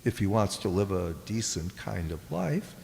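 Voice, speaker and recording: A man's voice: lowish voice, very animated, moderate pace, creaky, darkish tone. An actor playing a fretful carrot father and government official in a comic radio play, formal and gloomy in manner. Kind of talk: comic science fiction radio drama acting. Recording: echoey hall with long reverb.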